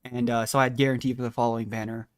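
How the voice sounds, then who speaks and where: Male game streamer, medium pitch, casual chatty live commentary with a rambling, self-deprecating manner. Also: flat delivery, rapid-fire, clear, resonant tone.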